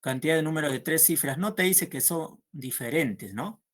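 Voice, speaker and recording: A man's voice: fairly high pitch, animated, a bit bright. A man teaching a math class, working through problems aloud and checking with students as he goes. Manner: slow and patient, checks understanding with "¿no?".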